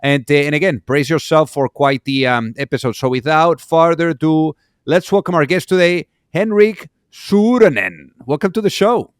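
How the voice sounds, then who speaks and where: A man's voice: a little high, moderate pace, hardly any pauses, smooth, no echo, clean studio sound. A man hosting a podcast, who talks in an upbeat way and fills his talk with "you know" and "uh". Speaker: podcast host. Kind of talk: podcast introduction.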